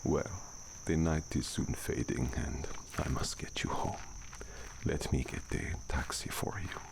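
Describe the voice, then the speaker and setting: A man playing a courteous, brooding vampire count in an ASMR audio drama, speaking formally in a gravelly near-whisper. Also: rumbling low, steady tone, exaggerated voice. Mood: gentle, courteous. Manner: formal, slow, measured speech. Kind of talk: ASMR roleplay acting. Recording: noisy, night crickets and outdoor ambience.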